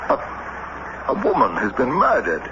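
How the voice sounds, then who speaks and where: A man's voice: mid-range voice, huge pitch swings, moderate pace. An actor in a radio drama playing an anxious, formal middle-aged statesman and husband, theatrical and hesitant in manner. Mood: flustered, insistent. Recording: noisy muffled old radio broadcast.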